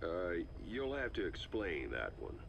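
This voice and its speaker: A man playing a gruff, skeptical mercenary soldier in a video game, talking casually and dryly. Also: low-pitched, sing-song, a little slow, muffled recording, noisy background, exaggerated voice. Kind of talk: video game voice acting, conversational.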